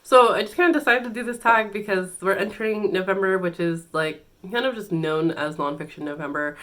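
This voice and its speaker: A woman's voice: low voice, a bit bright. A woman hosting her own book channel, chatting casually with many fillers and restarts, congested and a little nasal from a cold. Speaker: BookTube channel host. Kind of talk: casual book-tag vlog.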